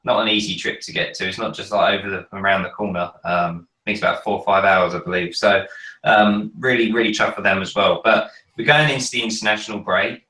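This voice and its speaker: A man with a croaky, cold-hoarsened voice, chatting casually with many ums on a fan podcast. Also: fairly low pitch, steady tone, a little fast, pushed and bright, echoey room, recorded from a distance, low-quality recording. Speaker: podcast panelist. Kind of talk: casual chat.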